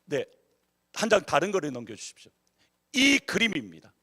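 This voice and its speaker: A man's voice: a little high, wildly swooping pitch, normal speed, sharp tone, breathy edge. A man preaching a Sunday sermon in a church, with a regional dialect, emphatic and full of rhetorical questions. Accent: Korean regional dialect. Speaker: pastor. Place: church sanctuary.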